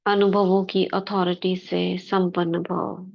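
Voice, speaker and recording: A woman guides a spiritual meditation in a fairly low, very even voice. She speaks very slowly, in short devotional phrases separated by pauses. The recording is slightly dull and lo-fi. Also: gravelly. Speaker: meditation leader.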